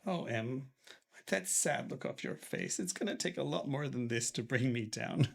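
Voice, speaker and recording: Older male tycoon patriarch, gravelly and husky, commanding and stern, a man playing him. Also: a little high, fairly quick, fairly bright tone, full character voice. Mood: frail. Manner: commanding, scolding, turns weak and coughing later. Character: stern elderly male tycoon father, head of a family firm. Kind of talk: melodrama acting.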